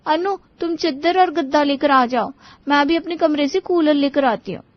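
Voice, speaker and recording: A woman's voice: high voice, moderate pace, no room sound, highs cut off, pitched above the performer's own voice, lightly acted. A woman voicing a scheming, complaining young daughter-in-law in a cartoon story. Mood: relieved. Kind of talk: acted dialogue in a cartoon story.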